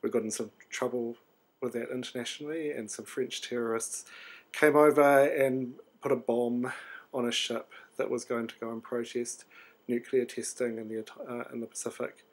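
A New man in his thirties talks through a video diary at a mid pitch. He sounds tired and drowsy, and his speech halts on ums and false starts as he thinks aloud. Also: level tone, normal speed. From New Zealand. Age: 30s. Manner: hesitant, many ums and restarts. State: sleepy. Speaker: artist video diarist. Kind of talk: rambling video diary monologue.

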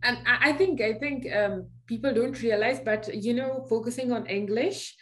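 A woman's voice: on the high side, normal speed, a bit bright. Woman interviewer on a video call. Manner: asks several questions at once, hesitant fillers. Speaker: interviewer, series host. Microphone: video call webcam.

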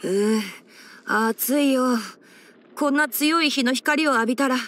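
A woman voicing a boyish young male fox-eared forest ranger and scholar in an anime game, instructive and frank with a gently teasing manner. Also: sits high, measured pace. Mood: distressed, uncomfortable. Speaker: character voice actor. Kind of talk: anime game character voice lines.

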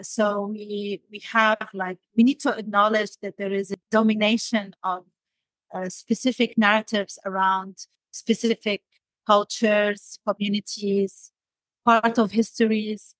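A woman talks in a thoughtful interview style at a middle pitch, hesitating as she searches for words. The recording is dry, with very little room sound. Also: level tone, a little slow, fairly bright tone, no echo, low-quality recording. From Damascus, Syria. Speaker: podcast guest, designer.

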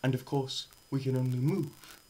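A young man giving a dramatised science lecture, theatrical, swinging between shouts and hushed murmurs, with pauses and repetition for effect. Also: mid-range voice, steady tone, a little hiss or hum. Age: young adult. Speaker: presenter. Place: small bare indoor room.

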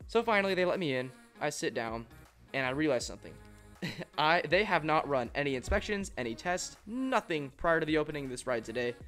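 A young man telling a travel story as a vlog voice-over, in an excited, storytelling way. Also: a little high. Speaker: coaster vlogger. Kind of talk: voice-over narration. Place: voice-over, not at the park.

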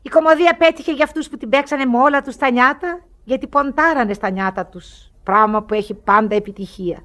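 A high-voiced older noble lady in radio theatre. She is wry and worldly, formal in her speech, with theatrical exclamations. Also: fairly bright tone. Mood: wry resignation. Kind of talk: radio theatre comedy acting.

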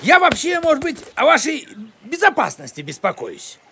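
An actor voicing a nosy, defensive village postman in an animated cartoon, blustering, evasive and repeating himself. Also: high-pitched, moderate pace, vocal fry, a little hiss or hum, heavily acted. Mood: flustered, defensive.

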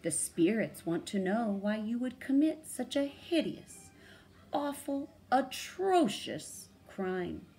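A woman voicing a young female hyena who poses as a ghostly spirit, slow and commanding. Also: fairly high pitch, big pitch swings, dark tone, a little hiss or hum, pitched above the performer's own voice, heavily acted. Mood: stern.

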